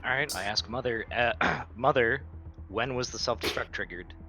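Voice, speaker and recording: A man's voice: mid-range voice, normal speed, bright, forceful tone, soft background music. A blunt, sweary man playing a tabletop roleplaying game in casual in-character banter.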